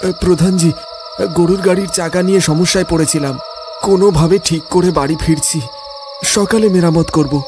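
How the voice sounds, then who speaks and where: A man voicing a high-pitched young village cart driver. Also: fairly even intonation, moderate pace, lightly acted. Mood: earnest, worried. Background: music, insect sounds.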